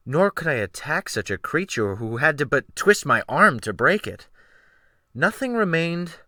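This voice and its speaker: A man giving a theatrical, expressive dramatic reading of literary narration as an audiobook narrator. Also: medium pitch, no room sound, clean studio sound.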